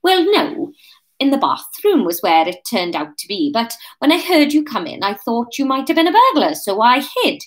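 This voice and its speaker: Woman playing storybook woman, highish pitch, animated intonation, awkward, brazen, falsely casual. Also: normal speed. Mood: nervous bluffing. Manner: hesitant but brazen, feigned casualness. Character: awkward young woman bluffing her way through, storybook.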